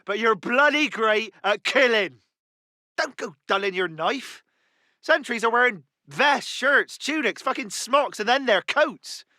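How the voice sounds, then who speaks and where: High, forceful, mean commando. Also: male voice, animated, measured pace, dry recording, clean studio sound, heavily acted. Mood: harsh, scornful. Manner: blunt, coarse, barking. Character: mean, foul-mouthed male Allied commando, hard and scornful. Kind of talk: video game unit voice lines.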